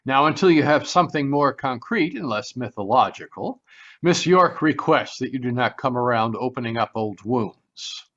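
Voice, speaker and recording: A man's voice: mid-range voice, lively intonation, normal speed, a bit bright. Guy playing a wordy stuffy radio mystery man brushing off a detective, kinda dull lo-fi sound. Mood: firm, haughty. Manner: formal, dismissive, wordy. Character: smooth, evasive man fending off a detective. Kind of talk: old-time radio mystery acting. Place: remote live video call performance. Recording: dull lo-fi video call audio.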